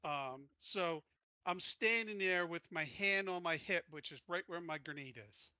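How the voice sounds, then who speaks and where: A man with a fairly high, very bright and forceful voice talks casually as a player at a tabletop game. The recording is dry. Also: unhurried, clear, resonant tone, dry recording, lo-fi sound.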